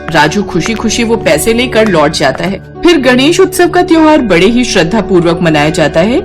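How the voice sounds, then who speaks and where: A woman narrating a scripted devotional moral story in an expressive storytelling style, with music behind her. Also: medium pitch.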